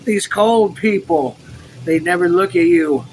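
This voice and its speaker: An anguished lieutenant in a storybook war acted out by a man, emotional and near breaking point. His fairly high voice sits over clear background noise. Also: measured pace, a bit bright, poor audio. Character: overwrought young lieutenant, anguished, homesick and quick to rage.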